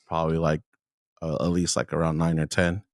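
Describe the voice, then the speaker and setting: Deep-voiced man in his forties. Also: completely flat delivery, a little fast, a little mellow, no echo, studio quality. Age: 40s. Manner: laughs often, hesitant with fillers. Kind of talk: casual chat.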